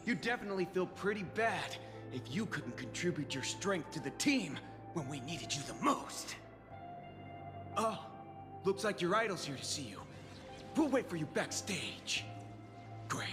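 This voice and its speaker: A woman's voice: fairly low pitch, big pitch swings, unhurried, pauses often. A woman playing a boisterous, boastful young masked hero who leads a squad in a video game, with a teasing, theatrical manner. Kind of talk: animated game voice acting. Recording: long reverb, clear music behind.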